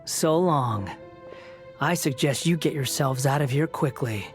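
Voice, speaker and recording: A woman voicing a proud, brooding, sardonic young male wanderer in a video game, speaking in a cool, deliberate way. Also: a bass voice, faint music behind. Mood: resigned, resolute. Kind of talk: dramatic video game voice acting.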